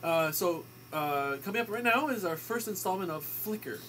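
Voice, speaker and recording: A man's voice: sits high, expressive, normal speed, noisy background. A man co-hosting an amateur radio show in a casual, bantering style.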